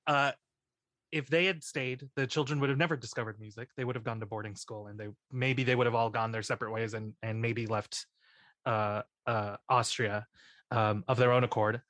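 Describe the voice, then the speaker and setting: A man's voice: mid-range voice, fairly even intonation, normal speed, fairly bright tone, no room sound. A young man chatting casually on a podcast, joking and rambling in a mock-serious way. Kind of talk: casual comedic chat.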